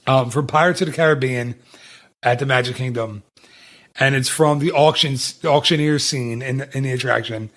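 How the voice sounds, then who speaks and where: A man's voice: mid-range voice, moderate pace, a bit bright. A man hosting a podcast in casual chat, talking in a chatty, rambling way with many restarts. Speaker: podcast host.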